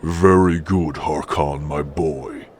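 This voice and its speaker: Very deep, imperious orc chieftain. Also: male voice, fairly even intonation, a little mellow, a little hiss or hum. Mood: imperious, cruel amusement. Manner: imperious, deliberate, disdainful. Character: older male orc chieftain, cruel, imperious, coldly amused. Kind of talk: ASMR roleplay.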